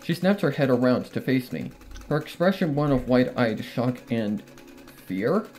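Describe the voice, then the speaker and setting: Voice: medium pitch, animated, normal speed, a little mellow. A narrator reading a horror story aloud in a dramatic, first-person style. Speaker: fan fiction narrator.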